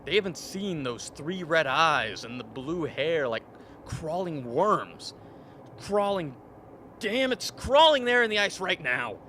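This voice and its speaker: An overwrought scientist in a radio drama , played by a man, with a fairly high voice. He is haunted and vehement, hammering on repeated words. Faint noise sits behind him. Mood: fearful, agitated. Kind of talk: dramatic radio play acting. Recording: faint steady background noise.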